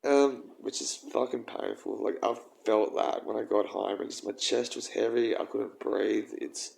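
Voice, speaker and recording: A young man with a croaky voice, rambling and halting through a casual personal vlog. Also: lowish voice, steady tone, darkish tone, low-quality recording. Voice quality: croaky, gravelly. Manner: rambling, halting, with frequent ums and swearing. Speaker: vlogger.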